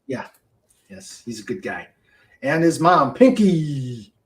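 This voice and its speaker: Man, host, casual chat, unhurried, halting delivery with fillers. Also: medium pitch, big pitch swings. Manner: slow, halting, with many fillers.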